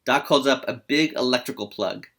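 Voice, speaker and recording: A man's voice: mid-range voice, normal speed, fairly bright tone. A man hosting a table read and narrating the screenplay's stage directions.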